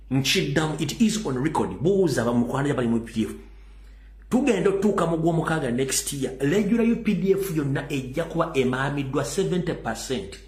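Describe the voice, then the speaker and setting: A man's voice: medium pitch, roomy sound. A man hosting a political talk show, delivering urgent, insistent commentary and mixing English into his speech.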